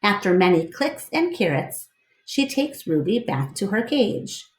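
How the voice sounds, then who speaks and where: A woman narrating a children's book aloud as a storyteller, in an expressive read-aloud style. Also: medium pitch, unhurried, echoey room.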